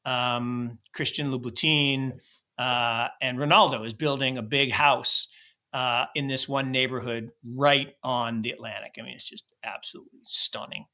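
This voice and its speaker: A man's voice: mid-range voice, fairly even intonation, normal speed, sharp tone. An American man co-hosting a podcast, chatting casually and animatedly about markets and travel, often restarting his sentences. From United States. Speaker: podcast co-host.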